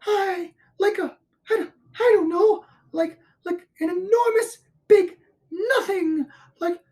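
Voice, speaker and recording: Woman voicing a squeaky, panicky little male piglet. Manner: stammering, breathless, anxious. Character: small timid male piglet, panicky, frightened.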